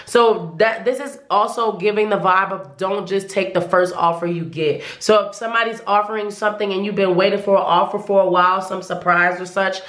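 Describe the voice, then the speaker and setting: A woman talks her viewers through a pick-a-card tarot reading in a roomy, echoing space, her voice pitched in the middle of the range. She sounds hoarse because her voice is going. She rambles and halts, restarting her sentences again and again. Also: fairly even intonation, a little fast, few pauses, fairly bright tone. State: losing her voice. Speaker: tarot reader.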